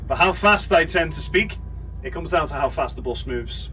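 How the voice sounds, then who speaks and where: A man's voice: on the high side, lively intonation, moderate pace. A man with a Liverpool accent working as a tour guide, giving chatty, jokey commentary to a busload of visitors. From Liverpool, England. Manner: chatty, jokey, rallying the crowd. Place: on a tour bus. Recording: distant voice with loud bus noise.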